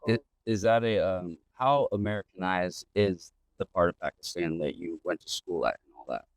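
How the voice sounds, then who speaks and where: A man hosting a casual podcast interview, relaxed and rambling, with lots of fillers and restarts. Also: low voice, moderate pace, dry recording. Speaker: podcast host. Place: outdoors in an old town district.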